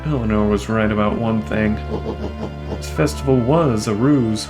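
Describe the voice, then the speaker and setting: Guy doing a smug low-voiced game villain, grand and condescending, takes his time, music behind. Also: lightly acted. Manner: grandiose, mock-jovial, condescending. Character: gloating, theatrical male villain, smug and mocking. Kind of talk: character voice reading for a video game playthrough.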